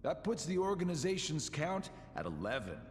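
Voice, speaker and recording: A man's voice: fairly high pitch, wildly swooping pitch, normal speed, big-room sound, slight background noise. A man voicing a sly, mocking middle-aged schemer in a video game, with a teasing, theatrical drawl. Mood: sly, casual. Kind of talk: video game cutscene acting.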